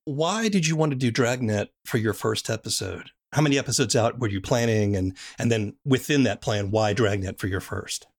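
A man hosting a casual interview, relaxed and affirming, asking about a guest's process. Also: lowish voice, normal speed, few pauses. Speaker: podcast host, interviewer.

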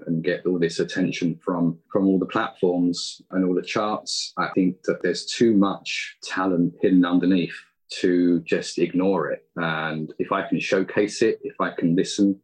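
Low, husky man chatting casually. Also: rough recording. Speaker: podcast guest, indie audio drama creator. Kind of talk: casual interview chat.

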